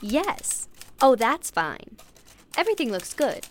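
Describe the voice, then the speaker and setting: Woman playing very lively, cheerful receptionist. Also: fairly high pitch, slow pace, a little hiss or hum. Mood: cheerful, helpful. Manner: bubbly, gracious. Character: cheerful, welcoming female front-desk receptionist. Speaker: actor in a radio play. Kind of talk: old-time radio play acting.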